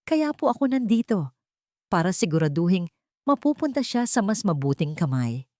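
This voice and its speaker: An arrogant male schemer, acted by a woman narrator oozes false sincerity in an audiobook melodrama. The delivery is self-dramatizing, with lively pitch swings and a dry sound. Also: normal speed, dry recording, natural voice. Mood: feigned emotion.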